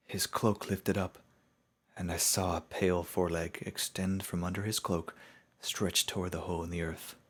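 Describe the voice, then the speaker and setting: A man giving a measured, solemn dramatic narration of a fantasy story, as in an audiobook. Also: sits low, little pitch movement, slightly rough.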